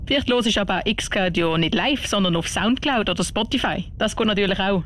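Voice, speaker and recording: A woman's voice: medium pitch, brisk, fairly bright tone, a little hiss or hum. A woman presenting a radio show as its host.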